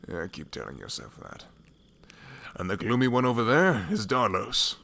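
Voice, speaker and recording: A man voicing an older, gruff but jovial leader of travelers, teasing and self-assured, in a fantasy audio roleplay. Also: on the low side, wildly swooping pitch, normal speed, bright, forceful tone, a little hiss or hum, exaggerated voice.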